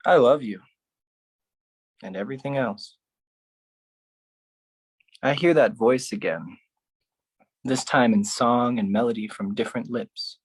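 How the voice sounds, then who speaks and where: Slow, theatrical solo monologue performer. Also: slightly rough, no room sound. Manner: theatrical, intense, swinging between low musing and raised outbursts. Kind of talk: dramatic spoken-word monologue performance.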